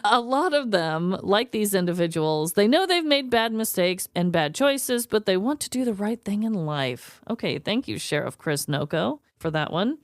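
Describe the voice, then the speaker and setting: A woman co-hosting a podcast in casual, comedic chat, animated and quick to laugh and swear. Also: medium pitch, dry recording. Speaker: podcast co-host.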